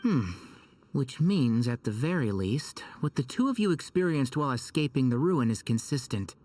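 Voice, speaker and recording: A man playing a gentle, analytical young healer in a video game, careful and considerate in how he speaks. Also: medium pitch, very animated, moderate pace, recorded from a distance, natural voice.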